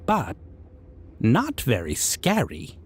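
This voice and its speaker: Slow-talking, sly goblin king. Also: medium pitch, wildly swooping pitch, slight background noise. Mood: amused, condescending. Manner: slow, broken-grammar speech, musing with hums. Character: civilized goblin king, male, sly, curious, menacing but amused. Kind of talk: character audio roleplay addressed to listener.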